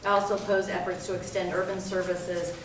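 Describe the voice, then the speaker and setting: A middle-aged woman from Northern California, giving a measured debate opening statement as a candidate. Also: fairly low pitch, level tone, slight background noise. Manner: measured, with frequent um and uh. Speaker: political candidate. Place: public event hall. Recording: echoey hall from far off.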